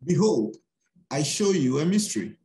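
A man slowly reading a scripture passage aloud as a participant on a video call, far from the mic. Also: fairly high pitch, big pitch swings, warm tone, roomy sound. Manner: slow, measured reading aloud.

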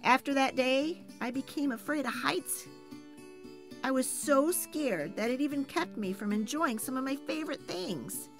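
A woman reading a children's picture book aloud, voicing the first-person narration with animated expression, soft laughs and sighs. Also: a little high. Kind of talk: expressive read-aloud storytelling.

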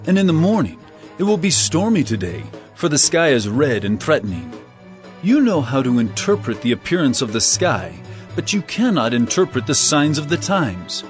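A man's voice: a little high, big pitch swings, normal speed, faint music behind. An actor playing an earnest male religious teacher in a dramatized scripture reading, with emphatic delivery. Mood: stern.

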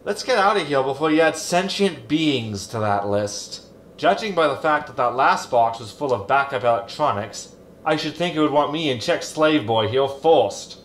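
An actor playing a grumpy, sardonic ship's hologram who grumbles through a sci-fi sitcom audio drama. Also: male voice, medium pitch, animated, normal speed, a bit bright, slight background noise, natural voice. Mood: annoyed. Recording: echoey room with background ambience.

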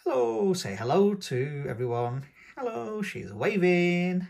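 A man's voice: high voice, big pitch swings, slow, creaky, darkish tone. A man reading a picture book aloud to toddlers in a sing-song, coaxing tone as host of his own channel. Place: indoors at a tabletop, hands showing a book.

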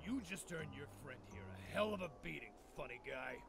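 A man voicing a menacing, easily offended bull street thug with a gravelly, bullying voice in a cartoon video game. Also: a little high, expressive, brisk, noisy background. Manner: bullying, forceful.

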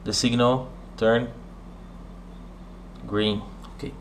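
Slow, halting Brazilian-accented man. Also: medium pitch, steady tone, a little hiss or hum. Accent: Brazilian Portuguese. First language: Portuguese. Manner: halting, with many "uh" and "okay?" checks. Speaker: hobbyist showing a hardware setup. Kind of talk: hands-on tutorial walkthrough. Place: indoor room at a desk.

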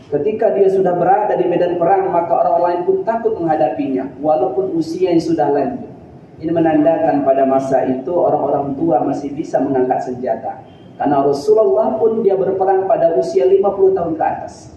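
A man in his thirties delivers a Friday sermon as an earnest storyteller, pushing his voice up for emphasis. His slightly high, very dark and mellow tone sits far from the mic in a very echoey room. The webcam sound is dull and lo-fi, with a faint hiss. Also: fairly quick. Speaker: Friday preacher. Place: room in front of a green-screen backdrop.

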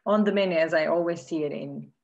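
A woman's voice: low-pitched, fast, darkish tone. A young woman co-hosting a podcast over a video call on a headset, cheerful, laughing often and trying out new words. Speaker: podcast co-host, learner. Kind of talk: casual language-learning chat. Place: room indoors. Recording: dull lo-fi call audio.

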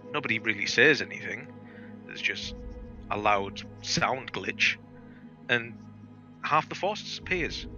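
A man with a very bright, forceful voice spins game war stories over voice chat. He is theatrical and swears freely, with faint music playing behind him. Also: mid-range voice, lo-fi sound. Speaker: gamer telling war stories. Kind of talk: animated informal storytelling over game voice chat.

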